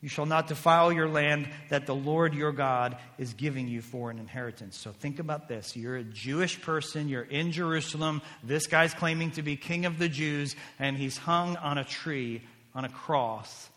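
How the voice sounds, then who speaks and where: A man preaching a sermon as a pastor, emphatic, swinging between loud declarations and hushed, intense lines. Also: a little high, steady tone, normal speed. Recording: long hall reverb.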